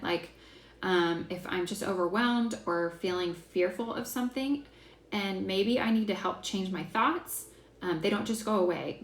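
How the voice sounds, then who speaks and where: A woman's voice: mid-range voice, vocal fry. A young woman hosting a casual class, talking in a chatty, enthusiastic way with lots of fillers. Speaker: essential oils class host. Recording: echoey room, faint background hiss.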